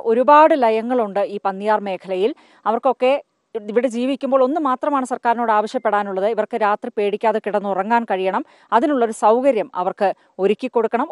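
A woman's voice: a little high, no room sound, clean studio sound. A woman, reporting from the field into a handheld microphone. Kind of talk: news report to camera and field interviewing. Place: outdoors outside workers' houses.

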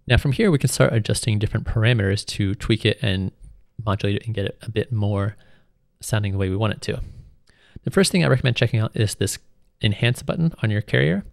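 A man giving an informal, step-by-step tutorial as a music software instructor. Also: fairly low pitch, creaky, no room sound, clean studio sound. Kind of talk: tutorial narration, explanatory.

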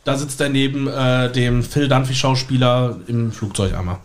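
A man's voice: medium pitch, steady tone, moderate pace. A man chatting casually and talkatively as a podcast guest, telling anecdotes about his work as a magician.

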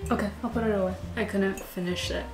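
A woman in her twenties talks casually to a handheld camera, chatty and self-deprecating. She laughs easily, and her voice sits at a middling pitch. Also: steady tone, fast talker, over music. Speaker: lifestyle vlogger. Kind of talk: casual vlog chat to camera.

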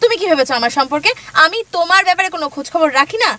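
A woman's voice: very high-pitched, lively intonation, rapid-fire, exaggerated voice. A woman playing a fiery young wife who argues back indignantly in a radio play. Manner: sharp, indignant, argumentative.

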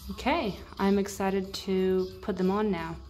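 A woman's voice: mid-range voice, fairly even intonation, measured pace, a little mellow, faint music behind. A young adult woman talking casually to camera in a product review, informal and thinking aloud, recorded indoors on a camera at arm's length. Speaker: fitness vlogger. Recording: clear background noise.

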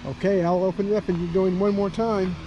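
Coaxing high-voiced man. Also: flat delivery, quick pace, lo-fi sound, background noise. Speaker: vlogger. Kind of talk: casual chat to a dog. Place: outdoors on a rural homestead.

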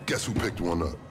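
Corrupt cop for a game cutscene: low, sly, measured and smug, vehicle noise behind. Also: male voice. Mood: pleased, boastful. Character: smug, sly, corrupt male police officer. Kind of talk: video game cutscene acting. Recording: game audio with clear car noise behind.

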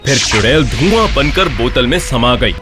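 A man narrating a scripted animated horror tale as a storyteller. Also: medium pitch, normal speed, music in the background.

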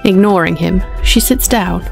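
A woman narrates a story in a lowish voice with plenty of vocal fry. Music plays softly behind the scripted reading. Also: measured pace.

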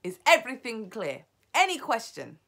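An imperious, venomous chief witch from a children's storybook, acted out by a woman, with a swooping voice. She commands her coven in loud, domineering shouts and stretches words for menace. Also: fairly high pitch, huge pitch swings, vocal fry, pitched above the performer's own voice, exaggerated voice. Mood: imperious, commanding. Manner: shouting, domineering, drawn-out emphatic delivery.

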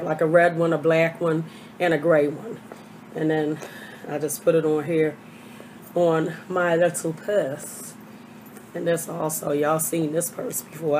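Hoarse older woman, low flat voice. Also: a little hiss or hum, poor audio. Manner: chatty, rambling with many fillers. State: hoarse from a cold. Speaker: vlogger. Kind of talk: casual haul video. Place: small bedroom. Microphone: webcam at desk.

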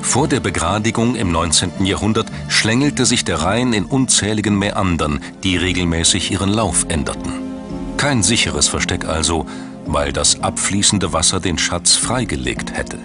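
A man's voice: sits low, normal speed. A man reading scripted documentary narration as a studio voice-over. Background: music.

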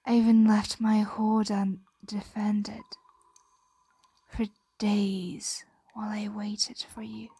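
A woman's voice: fairly high pitch, warm tone, breathy edge, heavily acted. A woman playing an ancient, possessive, doting dragon in an ASMR roleplay, whispering breathily and soothingly, close to the microphone. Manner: slow, soothing, possessive murmuring.

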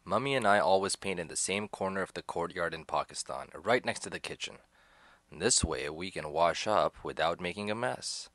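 Man with a low voice, dry close sound, expressive literary storytelling. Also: moderate pace, no room sound. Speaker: performer reading a literary piece. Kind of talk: dramatic reading of first-person memoir.

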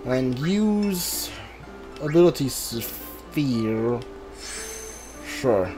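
A man's voice: medium pitch, big pitch swings, extremely slow, warm tone, faint music behind. A man giving casual playthrough commentary as a gaming streamer, hesitantly thinking aloud.

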